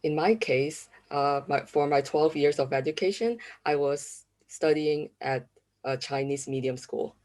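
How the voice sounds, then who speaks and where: A woman in her thirties from Malaysia, giving a conference presentation in a polite, steady way with hesitant fillers. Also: low-pitched, measured pace. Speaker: university lecturer presenting research.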